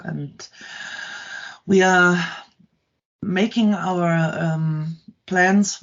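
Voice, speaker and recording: Slow, halting middle-aged woman. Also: lowish voice, muffled recording. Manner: halting, many ums and restarts. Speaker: guest, content creator. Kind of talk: casual interview chat.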